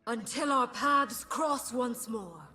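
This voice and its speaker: A woman voicing an ancient female ice bird spirit for a video game, solemn, soothing and measured in her delivery. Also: on the high side, expressive, sharp tone, husky, big-room sound, soft background music, full character voice. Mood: serene, solemn. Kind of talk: video game character voice lines.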